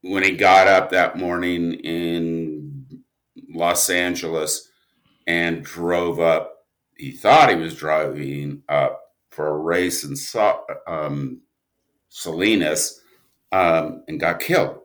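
A man speaking casually as an interview guest, telling anecdotes in halting, thoughtful phrases. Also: deep voice, steady tone, a bit bright. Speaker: writer and producer, interview guest.

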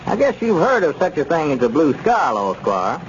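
No-nonsense rural townsman, firm and accusing, lively intonation, old noisy radio recording. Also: on the high side, normal speed, dull audio. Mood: threatening. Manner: firm, accusing, plain-spoken. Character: stern, no-nonsense small-town man interrogating a suspect. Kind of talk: 1930s radio comedy serial acting.

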